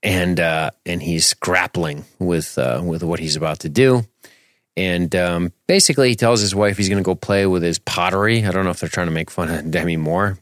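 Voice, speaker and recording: A man's voice: low voice, steady tone, moderate pace, dry recording, studio quality. A man in his forties co-hosting a movie podcast, joking sarcastically and swearing freely in casual banter. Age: 40s. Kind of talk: casual profane banter about a film.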